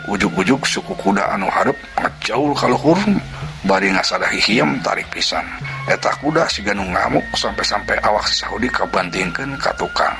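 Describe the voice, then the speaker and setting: A man narrating a folk tale in a lively way, full of sound words and whispered asides. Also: low-pitched, vocal fry. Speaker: storyteller. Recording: music bed under narration. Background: background music.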